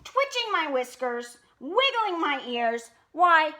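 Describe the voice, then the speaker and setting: A woman acts out a crotchety elderly male rabbit boss from a storybook. He is loud, gruff and indignant, in a high-pitched voice with lively swings. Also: takes it slowly, full character voice. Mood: irritated, indignant. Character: grumpy old retired boss rabbit, male, weary of duty.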